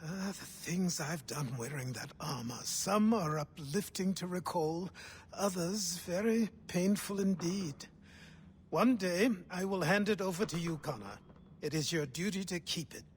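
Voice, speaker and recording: Dignified old mentor, solemn, reflective, fairly high voice, video game cutscene, faint noise. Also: male voice, animated, a little slow. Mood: wistful, solemn. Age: older.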